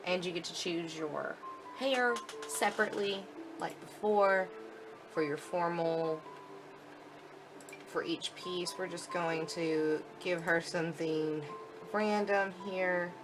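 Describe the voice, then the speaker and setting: Female game demo presenter, low register, informal and instructional, clear music bed underneath. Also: fairly even intonation, slow pace, low-quality recording. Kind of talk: casual live walkthrough commentary.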